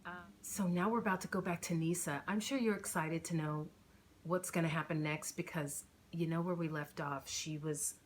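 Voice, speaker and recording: A woman's voice: lowish voice, steady tone, normal speed, a little hiss or hum. A woman hosting her book channel as an author, chatting in an informal, enthusiastic way. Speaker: author reading own novel, channel host. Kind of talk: casual chatty channel intro.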